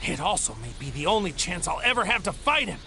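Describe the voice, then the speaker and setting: Fierce righteous anime warrior hero, high voice, swings a lot, music behind. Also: male voice, very animated, fast talker, creaky, sharp tone, slight background noise, heavily acted. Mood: determined. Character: fierce, proud, righteous young male martial-arts warrior hero. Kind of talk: dramatic English anime game voice acting. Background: dramatic music.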